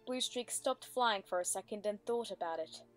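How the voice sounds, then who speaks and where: A woman's voice: mid-range voice, normal speed, faint music behind. A woman reading scripted story narration as the narrator of a dramatic reading.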